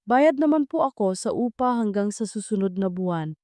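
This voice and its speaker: A woman's voice: medium pitch, expressive, moderate pace, mellow, no echo, close to an everyday voice. A woman voicing a struggling young mother in a story, speaking politely and tenderly. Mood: startled, polite.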